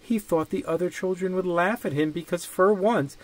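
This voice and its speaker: A man reading a children's picture book aloud with deliberate, dramatic phrasing. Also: sits high. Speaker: storybook reader.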